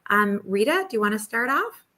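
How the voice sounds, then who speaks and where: Bright-voiced lady hosting a webinar panel, upbeat, welcoming, video call sound. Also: a little high, very animated, brisk. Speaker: moderator.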